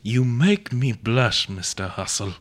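A man acts out a regal older British Queen in a comic radio play. The delivery is very slow and measured. The manner is gracious and formal, like royalty granting an honor. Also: mid-range voice, expressive, voice pushed down. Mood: coy. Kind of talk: comedy radio play character acting.

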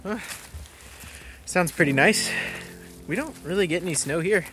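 A man playing a chatty, inquisitive and slightly uneasy hiking companion in an audio drama, with an expressive, questioning delivery. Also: high-pitched, normal speed, background noise, close to an everyday voice. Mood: curious, wistful. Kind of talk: naturalistic audio drama dialogue.

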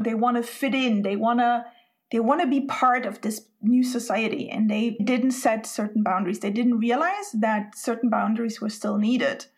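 Reflective woman host, higher voice. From Spain. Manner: reflective, thinks aloud. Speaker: podcast host. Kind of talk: conversational podcast interview.

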